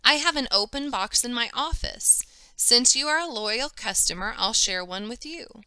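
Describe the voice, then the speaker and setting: A woman's voice: a little high, lively intonation, moderate pace, sharp tone, smooth, no echo, voice pushed up, full character voice. A woman voicing a friendly, chatty woman shopkeeper from a children's story. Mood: welcoming.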